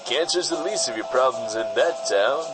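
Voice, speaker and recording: Man playing a smarmy pitchman over music. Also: expressive, normal speed, close to an everyday voice, poor audio. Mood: smarmy, upbeat. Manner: smarmy, hammy, paternal. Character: slick, folksy male PSA pitchman, washed-up film star. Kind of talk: parody public service announcement.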